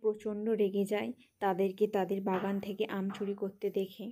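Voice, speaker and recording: A woman's voice: medium pitch, level tone, fairly quick, warm tone. A woman reading scripted narration for a children's cartoon story.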